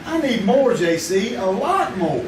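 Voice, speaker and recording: A man's voice: high voice, big pitch swings, a little slow, background noise. An actor playing Greg, a wry, joking young man who is short of money, in a 1950s-style radio drama performed live on stage. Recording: echoey theater sound from a distant mic.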